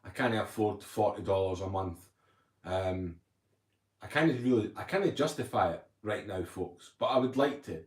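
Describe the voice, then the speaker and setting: A man's voice: lowish voice, expressive, moderate pace. Chatty man on a roomy livestream. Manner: chatty, rambling, reads viewer comments aloud. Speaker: livestream host. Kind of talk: casual livestream chat. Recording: roomy echo.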